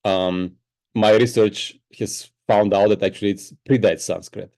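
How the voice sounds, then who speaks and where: A man's voice: fairly low pitch, steady tone, a little slow, darkish tone. A man from Bulgaria, Bulgarian his first language, explaining spiritual ideas enthusiastically as a podcast guest. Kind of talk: podcast interview.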